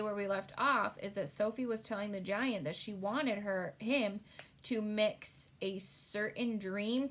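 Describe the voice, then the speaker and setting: A woman talking as a teacher would while introducing a storybook read-aloud. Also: medium pitch.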